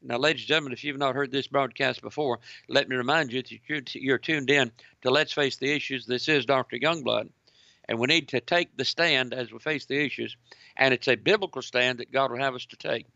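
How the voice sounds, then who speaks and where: A man's voice: medium pitch, fairly quick, vocal fry, no room sound, dull audio. A man hosting a talk radio show, speaking to his listeners in a preacherly way and interviewing a guest. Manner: preacherly, addresses listeners as ladies and gentlemen.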